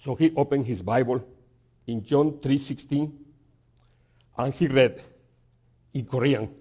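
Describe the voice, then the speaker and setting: A man giving a sermon as a guest preacher in a church, telling a story in a halting way with many restarts and some gentle humor. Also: mid-range voice, breathy edge.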